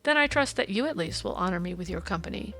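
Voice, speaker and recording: A woman voicing a brisk, courteous Victorian male detective in an audiobook, precise, formal and explanatory in manner. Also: high voice, animated, bright, forceful tone, faint music behind, voice pushed down, exaggerated voice.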